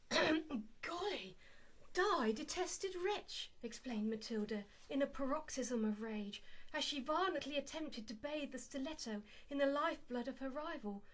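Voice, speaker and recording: A woman's voice: high voice, animated, a little slow, fairly bright tone. An actress playing a naive, easily impressed young lady in a radio play, gushing and flustered in manner. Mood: melodramatic reading.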